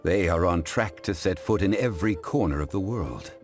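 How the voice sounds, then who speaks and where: An actor playing a composed, erudite gentleman in an anime game dub, speaking formally and courteously, as if giving a measured lecture. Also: low-pitched, soft background music, natural voice.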